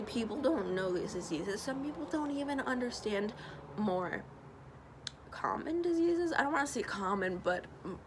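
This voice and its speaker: A young woman with a stuffy nose talks earnestly through a casual vlog, filling pauses with ums. Her voice carries a gravelly fry. She records outdoors, and clear traffic noise runs behind her. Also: medium pitch. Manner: chatty, earnest, many fillers. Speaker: vlogger. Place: outdoors by a loud road. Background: passing traffic.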